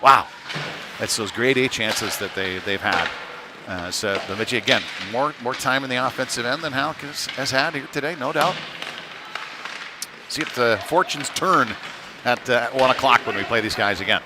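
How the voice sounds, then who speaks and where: Clear rink noise surrounds a man calling a hockey game live. His voice sits at an average pitch. He commentates in a loose, conversational style, with a casual and halting delivery. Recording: noisy rink ambience, live stream.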